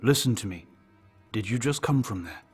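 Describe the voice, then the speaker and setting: A man's voice: on the low side, expressive, moderate pace, faint music behind, pitched above the performer's own voice, lightly acted. A man voicing a tense, commanding team leader. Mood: firm, urgent.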